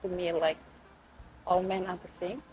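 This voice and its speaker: A woman's voice: fairly low pitch, little pitch movement, normal speed, a little mellow, slight background noise, low-quality recording. A woman in her late twenties from Fiji, giving a personal account as an interview guest. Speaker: podcast guest, postgraduate student. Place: couch in a room.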